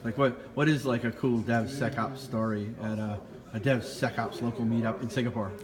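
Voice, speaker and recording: An upbeat man interviews guests in a loose, rambling way, full of "like" and "uh". His mid-pitched voice rings with some hall reverb, and a crowd chatters clearly behind him. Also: steady tone, normal speed. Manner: upbeat and enthusiastic, rambling with fillers. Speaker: interviewer, host. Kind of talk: casual conversational interview.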